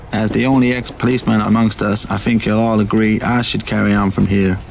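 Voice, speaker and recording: Casting: a man as earnest 1930s railway official in amateur radio mystery, gravelly edge, some background noise. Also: lowish voice, flat delivery, normal speed. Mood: authoritative. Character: routine-minded train conductor and authoritative ex-policeman, 1930s mystery. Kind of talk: amateur radio play acting. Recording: noisy amateur radio play recording.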